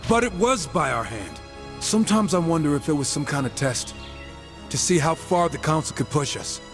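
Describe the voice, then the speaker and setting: A man's voice: on the high side, animated, normal speed. A man playing a cynical, wisecracking horseman and reformed killer in a video game, talking in a sardonic, informal way. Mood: uneasy, probing. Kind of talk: game cutscene dialogue. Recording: music behind the voice.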